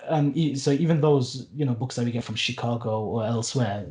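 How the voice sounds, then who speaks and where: A man's voice: mid-range voice, a little fast, a little mellow. A man in his late twenties, a podcast guest who teaches Arabic, talking casually and earnestly, with lots of restarts and fillers and some wry asides. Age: late 20s. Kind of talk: casual conversational interview.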